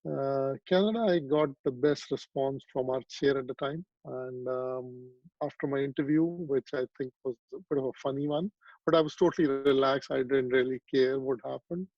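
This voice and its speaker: A man's voice: medium pitch, steady tone, normal speed, no echo, dull audio. A man, telling his story reflectively in an interview over a video call, with many ums and restarts. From Pakistan. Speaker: psychiatrist guest.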